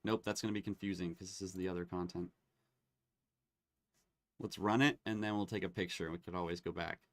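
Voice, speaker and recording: A man hosting a live online demo, chatting casually and getting excited as he tests tools. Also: sits low, brisk. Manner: excitable, laughs and exclaims while testing tools.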